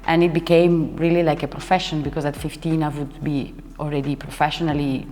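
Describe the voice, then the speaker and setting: A young woman, a dancer and choreographer, chatting as an interview guest and often pausing to search for words. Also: low voice, flat delivery, a little mellow. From Italy. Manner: searching for words, restarts sentences, laughs often. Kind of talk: casual interview talk. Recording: hall reverb with faint music behind.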